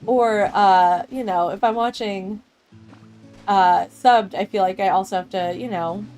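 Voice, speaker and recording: A woman's voice: mid-range voice, a little slow, darkish tone, soft background music. A young adult woman streaming a game, chatting casually with viewers, rambling from thought to thought and laughing often. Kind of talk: casual gameplay chat with viewers.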